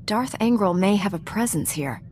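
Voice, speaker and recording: A woman playing a composed, resolute knight in a video game, serious, measured and direct. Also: medium pitch, lively intonation, darkish tone, slight background noise, natural voice. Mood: composed, businesslike.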